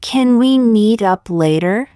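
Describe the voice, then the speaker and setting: A woman reading short practice sentences aloud for learners, saying each one twice, slowly and carefully. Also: a little high, lively intonation, mellow, clear, resonant tone, no room sound, clean studio sound. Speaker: English teacher.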